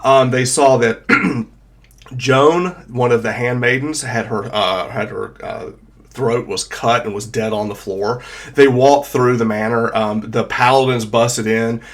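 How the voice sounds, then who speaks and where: A man's voice: medium pitch, fairly even intonation, unhurried. A man in his thirties with a cold and a congested voice, casually recapping a tabletop campaign as dungeon master over a video call, with lots of fillers and restarts. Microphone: desk mic on a video call.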